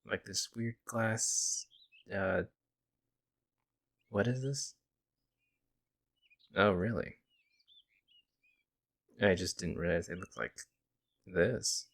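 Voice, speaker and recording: A man playing a friendly young werewolf, a lone wolf who talks casually and teases gently in an ASMR audio roleplay. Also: on the low side, drawn-out words, pauses often, full character voice. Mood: playful, teasing. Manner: casual, hesitant musing, gently teasing. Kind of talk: ASMR audio roleplay, intimate conversational acting.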